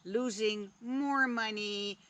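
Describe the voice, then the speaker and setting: Dutch-born woman musing out loud super slowly, lots of pauses, candid and a bit sweary. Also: a little high, a little hiss or hum. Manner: slow, deliberate, musing with long pauses; candid, drops casual swearing. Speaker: spiritual vlogger. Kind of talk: reflective personal talk.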